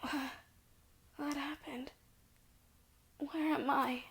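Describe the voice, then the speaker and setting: A young woman performs a fragile girlfriend with asthma in an audio roleplay, her voice pitched high and touched with a gravelly fry. She speaks slowly and softly, often half-whispering, in a pleading way. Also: fairly even intonation, full character voice. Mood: tired scolding. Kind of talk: audio roleplay, spoken to the listener.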